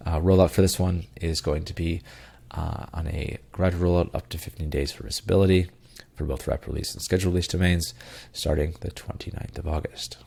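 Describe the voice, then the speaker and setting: A man's voice: sits low, steady tone, a little fast, gravelly, darkish tone, husky. A man hosting a casual tech news podcast, congested and tired, with plenty of ums and uhs and loose rambling asides. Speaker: podcast co-host.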